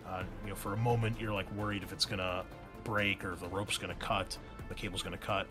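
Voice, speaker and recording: A man with a fairly low voice runs a tabletop roleplaying session as game master. He improvises his narration in a halting, conversational manner. Faint music plays behind him. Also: level tone. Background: background music.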